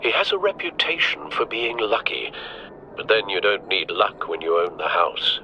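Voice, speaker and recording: An actor playing an older, loyal butler and adviser in a video game, articulate and dry. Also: male voice, lowish voice, normal speed, vocal fry, sharp tone, muffled recording, a little hiss or hum, full character voice. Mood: briefing, watchful.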